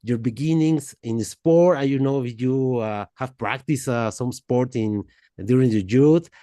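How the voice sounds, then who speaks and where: A man from Mexico, hosting a podcast interview in a halting way, full of fillers and restarts. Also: medium pitch, mellow, smooth, no room sound, clean studio sound. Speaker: podcast host. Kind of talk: casual interview chat.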